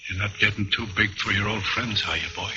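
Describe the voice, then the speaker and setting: An actor playing a boozy, washed-up American ex-convict who coaxes and pleads in folksy mid-century radio drama style, on a muffled, noisy recording. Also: male voice, on the low side, a little fast, bright, forceful tone. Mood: needy, suspicious. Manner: coaxing, needy, folksy. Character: wheedling, boozy, washed-up ex-convict old pal.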